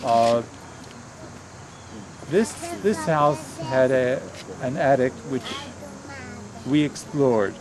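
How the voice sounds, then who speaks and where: A soft-spoken man in his eighties from Pennsylvania, reminiscing as he guides his family around outdoors, halting with many pauses and restarts. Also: mid-range voice, crowd noise, rough recording. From Pennsylvania, USA. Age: 80s. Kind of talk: reminiscing storytelling. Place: outdoors by a roadside house. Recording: outdoor camcorder with traffic noise.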